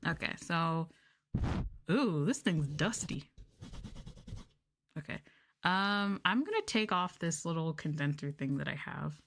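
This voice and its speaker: A soft-spoken woman chatting casually and playfully with viewers as the host of an ASMR livestream. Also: low-pitched, animated, unhurried, pauses often. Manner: soft-spoken, playful, drifting into close-mic whispers. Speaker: VTuber streamer and host.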